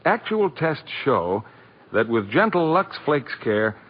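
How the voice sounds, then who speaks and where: A man's voice: sing-song, takes it slowly, husky. A male radio announcer delivering a polished, scripted 1940s advertisement. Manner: polished sales pitch. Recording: dull, muffled 1940s radio broadcast.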